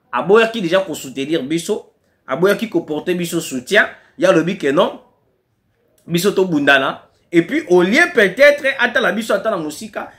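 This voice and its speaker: A Congolese-accented man delivers fiery political commentary with a high voice that leaps up and down. He presses his case hard and repeats key lines for emphasis. He sounds like a commentator addressing his online audience. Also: a bit bright. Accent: Congolese French.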